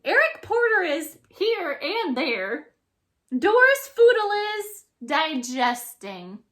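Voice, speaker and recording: Woman putting on a high-pitched, smug monster schoolteacher. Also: big pitch swings, slow, fairly bright tone, exaggerated voice. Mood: gleefully wicked. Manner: smirking, commanding, theatrical. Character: gleeful, menacing female green monster schoolteacher with claws.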